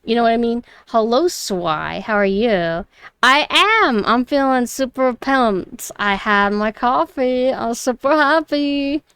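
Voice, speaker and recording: A young woman with a high voice, chatting casually and snarkily on a stream, her close mic clipping on loud peaks. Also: expressive, takes it slowly, clean tone, no room sound. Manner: chatty, rambling, mockingly snarky. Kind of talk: casual reaction stream chat. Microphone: close mic that clips loud peaks.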